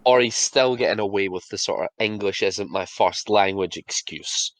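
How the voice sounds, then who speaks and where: A man with a fairly low voice, talking in a relaxed podcast chat. He laughs easily and drops into brief mock voices for officials. The sound is dry and close, with no room behind it. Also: dry recording. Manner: laughs often, acts out officials in quick asides. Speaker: podcast co-host. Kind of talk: casual chat.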